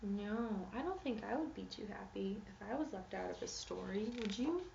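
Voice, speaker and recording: A woman reads to children like a teacher, expressive and inviting them to answer. Her voice sits at a middle pitch. The recording is roomy and a bit dull, with noticeable noise behind her. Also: normal speed, creaky, distant mic. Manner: expressive storytelling, posing questions to young listeners. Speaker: teacher reading a picture book aloud. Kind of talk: interactive children's read-aloud. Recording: echoey room, muffled, noisy background.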